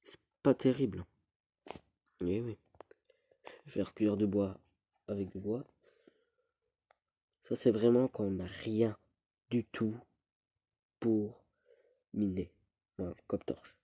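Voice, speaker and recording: Teen boy with a cold, husky and self-pitying, chatting over gameplay. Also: fairly low pitch, dark tone, no room sound. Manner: rambling, self-pitying, halting restarts. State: stuffy with a cold. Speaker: gaming youtuber. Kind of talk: casual gameplay commentary.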